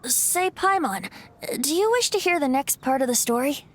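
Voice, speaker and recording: Woman as a light, teasing young male bard for a game: a little high, airy, and a natural storyteller. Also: lively intonation, moderate pace, a little hiss or hum. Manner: lighthearted and teasing, shifting into a rhyming storyteller's recital. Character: young male wind spirit bard, playful and wistful. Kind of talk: video game cutscene voice acting. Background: game soundtrack music.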